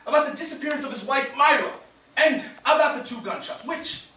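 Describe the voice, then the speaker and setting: Male actor as pompous excitable guest, very high bright voice, declamatory, stage comedy, strong echo, distant. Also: fairly quick, heavily acted. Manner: declamatory, wordy. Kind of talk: comic stage play. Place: indoor stage of a small theatre. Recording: echoey theatre, distant mic.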